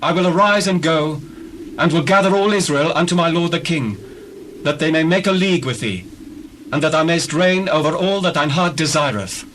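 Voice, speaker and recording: A man performs a proud ancient general in a scripture drama. His voice sits high for a man and declaims archaic lines with indignant pride. There is clear noise behind him. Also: moderate pace, fairly bright tone, close to an everyday voice. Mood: bold, persuasive. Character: proud, indignant ancient Israelite army commander.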